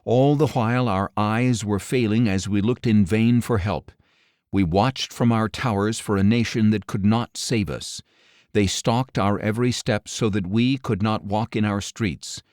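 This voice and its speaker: A man narrating scripture as an audiobook, with a solemn, measured delivery and deliberate phrasing. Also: fairly low pitch, a little mellow, no room sound, studio quality.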